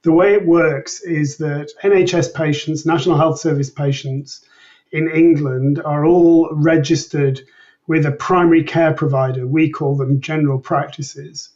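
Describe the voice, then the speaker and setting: A man with a fairly high voice, recorded a little distant and dull. He explains research as an interview guest, formally and precisely, in well-ordered sentences. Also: fairly even intonation, unhurried, darkish tone. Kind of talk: measured expert explanation in an interview.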